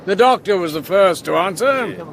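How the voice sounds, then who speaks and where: An actor playing a courteous, condescending British gentleman crook in a radio play. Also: sits high, animated, normal speed. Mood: smug, courteous. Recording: outdoor vehicle background noise.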